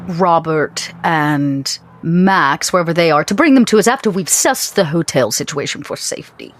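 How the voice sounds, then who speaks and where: Animated woman, tabletop roleplay player. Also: low voice, normal speed, a little hiss or hum. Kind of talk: casual character narration.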